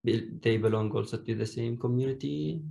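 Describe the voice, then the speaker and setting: A young man whose first language is Domari, speaking carefully and with many pauses as a panelist on a video call. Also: mid-range voice, gravelly, warm tone, slight background noise. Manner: slow, careful, pausing often. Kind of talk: online panel discussion.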